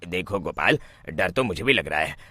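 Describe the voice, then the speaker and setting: Very bright, nervous young bridegroom acted by a man. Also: low voice, animated, moderate pace, dry recording, studio quality, heavily acted. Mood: anxious. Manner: anxious, pleading. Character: nervous young village bridegroom, jittery but stubborn. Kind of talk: children's cartoon story acting.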